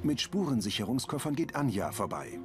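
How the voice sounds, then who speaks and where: A man's voice: medium pitch, sing-song, a little fast. A man reading scripted audio description narration for a television crime comedy. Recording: music behind the narration.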